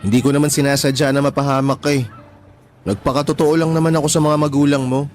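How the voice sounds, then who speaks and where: A man's voice: on the high side, fairly even intonation, fast, faint music behind, natural voice. An actor playing a persistent young man courting a tomboyish woman in a radio drama, teasing her with chuckles. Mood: earnest. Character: persistent young male suitor, teasing but sincere.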